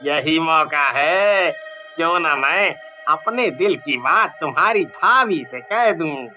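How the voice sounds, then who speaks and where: A man's voice: on the high side, animated, slow pace, pushed and bright, dull audio, soft background music, full character voice. A man playing a sleazy young village sidekick in a cartoon story, scheming, easily panicked and wheedling. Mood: wheedling, amused. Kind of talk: Hindi cartoon story voice acting.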